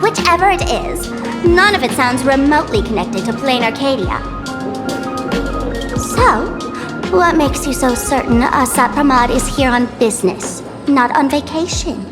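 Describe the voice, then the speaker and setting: A woman's voice: high-pitched, expressive, unhurried. A woman voicing a playful, theatrical young female trickster in a video game, teasing and showy. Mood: cool, challenging. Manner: teasing, showy, dramatic declarations. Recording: music behind the voice.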